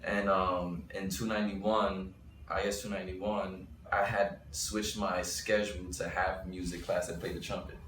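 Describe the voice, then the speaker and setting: A young man with a very low voice talks through his story in a loose, rambling way, often restarting mid-thought. He sounds set back from the mic in an echoey room. A faint noise sits under him. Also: little pitch movement, moderate pace, fairly bright tone, far from the mic. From Bushwick, Brooklyn, New York. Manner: rambling, restarts, thinking aloud. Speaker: rapper and interview guest. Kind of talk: casual interview talk.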